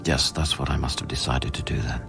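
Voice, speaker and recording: An actor playing an earnest scientist on a space station in a radio play, brooding and deliberate in narration, urgent when questioning. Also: male voice, extremely low pitch, steady tone, vocal fry, fairly bright tone, heavily acted. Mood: brooding, reflective. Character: earnest male scientist newly arrived on space station, recounting events. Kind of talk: radio drama acting with first-person narration. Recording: music behind the voice.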